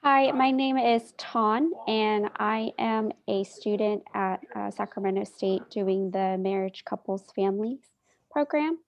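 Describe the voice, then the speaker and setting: A woman who is a nurse and counseling student, introducing herself in a measured way. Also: mid-range voice, dull audio. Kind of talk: self-introduction.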